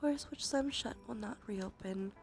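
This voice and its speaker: Soft whispering woman with creaky voice. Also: medium pitch, measured pace, soft background music. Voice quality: soft, breathy, often whispered. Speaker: livestream host. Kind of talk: ASMR tarot reading stream.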